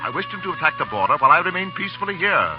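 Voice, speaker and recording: A man's voice: a little high, sing-song, rapid-fire. An actor playing a suave, proud, scheming male chieftain with formal, grandiose phrasing in a vintage radio drama. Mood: angry, scheming. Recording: muffled old radio recording, music behind.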